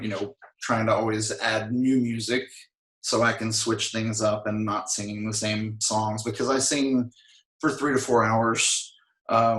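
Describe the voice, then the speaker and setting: A man being interviewed, chatting casually and a little hoarse, filling his talk with "I mean" and restarts. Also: mid-range voice, a bit bright, reverberant, rough recording. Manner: rambling, frequent "I mean" fillers. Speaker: interview guest, singer.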